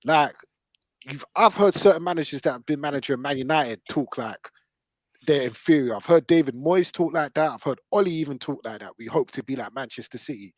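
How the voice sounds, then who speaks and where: A man's voice: on the high side, animated, brisk, no echo. A man with a London accent debating football casually and emphatically, repeating phrases to drive his points home. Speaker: football podcast host.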